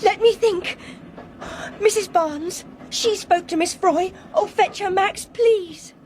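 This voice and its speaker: An actress playing an earnest, highly strung young Englishwoman in a radio drama, with an English accent. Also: slow pace, a little mellow, a little hiss or hum. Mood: indignant, frantic. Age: young adult.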